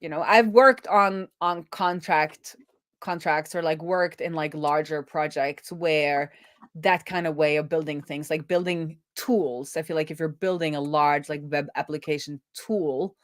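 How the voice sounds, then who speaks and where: Woman dev doing a live coding stream, kinda low voice, chatting and talking to herself as she works. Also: fairly even intonation, a little slow. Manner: chatty, thinking aloud with self-talk. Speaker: streamer and web developer. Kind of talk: casual live coding stream, thinking aloud.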